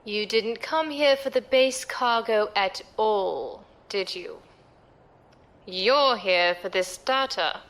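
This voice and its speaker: A woman's voice: medium pitch, slow pace, a bit bright. An actress playing a curious, playful female code breaker and pirate sidekick in an audio drama, with a questioning manner. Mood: puzzled, probing.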